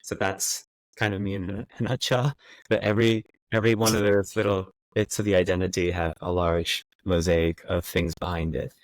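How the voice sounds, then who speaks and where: A man's voice: low voice, flat delivery, moderate pace, low-quality recording. An American man in his thirties answering interview questions thoughtfully, with many fillers and restarts. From United States. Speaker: guest. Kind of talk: conversational interview.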